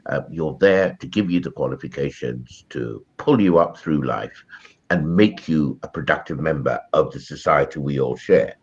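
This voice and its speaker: A man's voice: very deep voice, normal speed, slightly rough, highs cut off. A man hosting a studio interview, sniffling with a cold and talking in a chatty way full of ums and asides. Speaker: talk show host.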